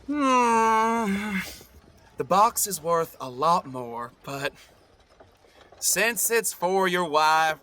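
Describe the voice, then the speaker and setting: A man's voice: fairly high pitch, pushed and bright, full character voice. A man playing a shifty, boastful frontier swindler in an audio drama, hesitating as he improvises his lies. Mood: coyly bargaining.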